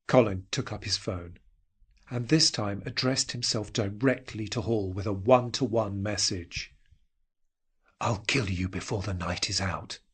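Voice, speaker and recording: Husky male horror story narrator. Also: mid-range voice, a bit bright. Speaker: narrator reading a short story. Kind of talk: dramatic scripted horror narration.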